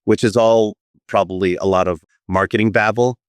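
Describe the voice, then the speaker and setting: A man's voice: on the low side, fairly even intonation, moderate pace, smooth, no echo. A man being interviewed as a company co-founder, explaining his firm's mission and his family background thoughtfully. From United States. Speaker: guest, firm co-founder. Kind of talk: interview answers.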